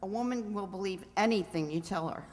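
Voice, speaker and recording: A woman's voice: medium pitch, fairly quick, darkish tone, natural voice. Woman playing a cheerful mind reader, reverberant hall. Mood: self-assured. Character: cheerful, self-assured married female mind reader. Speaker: quiz show contestant. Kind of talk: old-time radio re-enactment.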